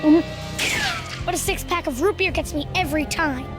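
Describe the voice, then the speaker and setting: A man voicing a shrill cartoon superhero ad on a TV in a game, sing-song and exaggerated. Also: normal speed, music in the background. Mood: hyped.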